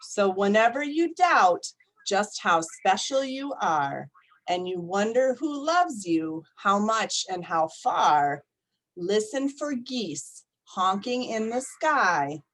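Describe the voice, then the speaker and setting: A woman reading picture books aloud to young children, expressive and deliberate, often putting questions to her listeners. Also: medium pitch, rough recording. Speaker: storytime reader for young children. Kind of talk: animated picture-book read-aloud to children.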